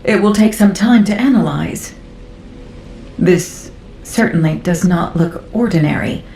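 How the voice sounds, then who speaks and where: A woman playing a curious, analytical scientist in a video game, with deliberate, thoughtful delivery. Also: fairly low pitch, vocal fry, warm tone. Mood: wary interest. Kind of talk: video game dialogue acting. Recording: roomy game audio, faint noise.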